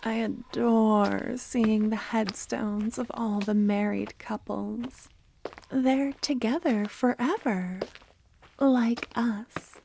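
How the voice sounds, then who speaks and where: A woman playing a loving, obsessive cultist kidnapper in a soft-spoken ASMR roleplay, sweetly menacing, breathy and often whispering. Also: fairly high pitch, steady tone, a little hiss or hum, low-quality recording. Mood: tender, dreamy. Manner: slow, tender, intimate coaxing. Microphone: close ASMR microphone.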